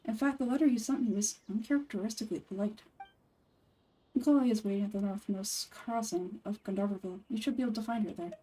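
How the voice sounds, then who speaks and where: A woman voicing a composed, sardonic young male forest ranger and scholar in a video game, speaking in a measured, matter-of-fact way. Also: medium pitch, dark tone, husky, distant mic, lightly acted, poor audio. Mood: dry, measured.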